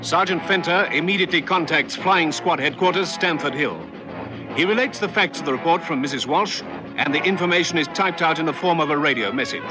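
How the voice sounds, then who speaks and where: A man narrating a vintage police radio drama in a scripted, dramatic style. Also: on the high side. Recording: vintage radio recording, music behind.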